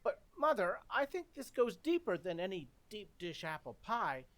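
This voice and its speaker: A woman's voice: medium pitch, sing-song, moderate pace, natural voice. A woman playing an earnest, dramatic teenage son in an old-time radio comedy. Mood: worried, pleading.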